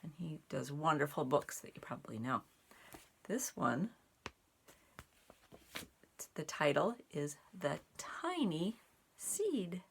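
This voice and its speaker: A woman reading a children's picture book aloud, phrasing it deliberately in short pieces with animated emphasis. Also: low-pitched, gravelly, a little hiss or hum. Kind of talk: expressive read-aloud for young children.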